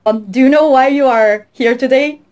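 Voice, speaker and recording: A woman's voice: high voice, moderate pace, a little mellow, faint music behind. A woman host asking the guests questions in a giggly, teasing way. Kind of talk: casual chat.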